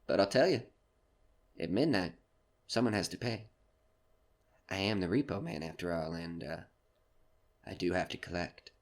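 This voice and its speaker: A man playing a sly, genial but menacing supernatural debt collector in an audio horror drama, drawling and teasing with casual pauses. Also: deep voice, noisy background, natural voice. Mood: quietly menacing.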